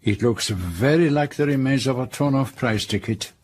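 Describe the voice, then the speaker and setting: An actor playing a courteous, self-assured Belgian detective with a French-speaking accent and precise, formal speech in a radio drama. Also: male voice, mid-range voice, moderate pace, close to an everyday voice. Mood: shrewd, polite. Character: courteous, self-assured foreign detective, older dapper man. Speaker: lead detective and narrator. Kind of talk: radio drama acting and narration.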